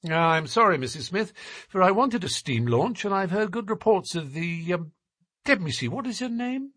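Shrewd detective, acted by a male reader: formal, coaxing, bland, widely varied intonation on a highish voice, audiobook storytelling. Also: very animated, fairly bright tone, dry recording, studio quality, heavily acted. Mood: bland probing. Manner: smooth, measured, politely formal. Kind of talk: audiobook character voice.

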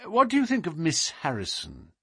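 Storybook gentleman sleuth, voiced by a man. Husky, precise, philosophical, self-assured, highly melodic delivery. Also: huge pitch swings, moderate pace, no echo, clean studio sound, exaggerated voice. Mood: animated. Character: brilliant, musing, self-assured gentleman detective.

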